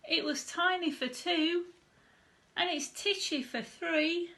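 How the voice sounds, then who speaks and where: A woman's voice: very high voice, takes it slowly, bright, forceful tone, voice pushed up, full character voice. A woman voicing a grumbling, exasperated little old lady from a children's storybook, exclaiming plaintively and dramatically. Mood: pleading, fretful. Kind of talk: children's storybook character reading.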